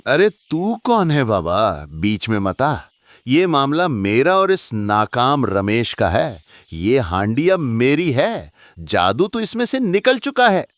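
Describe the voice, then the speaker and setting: अभिनेता की आवाज़ में घमंडी, लालची सेठ, उतार-चढ़ाव भरी आवाज़. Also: male voice, medium pitch, wildly swooping pitch, slow, dry recording, clean studio sound. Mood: dismissive, demanding. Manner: mocking, bullying, gloating. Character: arrogant, greedy rich merchant villain. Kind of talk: Hindi animated moral story acting.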